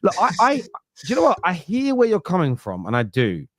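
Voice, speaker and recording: A man hosting a football podcast, casual chat that keeps correcting itself and counting stats out loud. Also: sits high, wildly swooping pitch, no room sound, clean studio sound. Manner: rapid, self-correcting, counts stats aloud. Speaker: podcast host.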